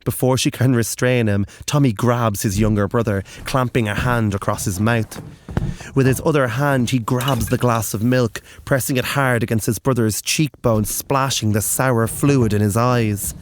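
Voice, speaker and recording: A narrator reading dark, literary horror fiction in a deliberate, intense and dramatic way. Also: mid-range voice, fairly even intonation, a little hiss or hum.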